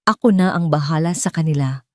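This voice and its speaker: A woman voicing a cold, scheming ex-wife with a sweet, soft manner and veiled menace for an audio drama. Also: low voice, a little fast, dry recording, studio quality, natural voice. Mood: cold triumph.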